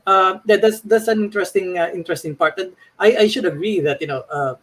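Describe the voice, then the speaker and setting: A man's voice: sits high, fast, a bit bright. A man hosting an interview, asking his questions hesitantly with many fillers and restarts. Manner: hesitant, full of fillers and repeats. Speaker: host.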